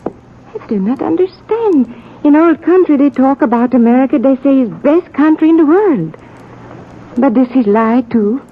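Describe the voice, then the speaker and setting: Radio western: fiercely loyal immigrant woman, high voice, broken English, old lo-fi broadcast. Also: expressive, normal speed, a little hiss or hum. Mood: bitter, despairing. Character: distraught, stubborn immigrant woman loyal to her man. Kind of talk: 1950s radio western acting.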